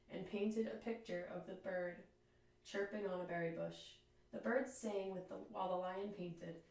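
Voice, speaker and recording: Woman reading a storybook in an echoey room. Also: medium pitch. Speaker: teacher reading aloud to children. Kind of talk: children's storybook read-aloud. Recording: echoey room, distant microphone.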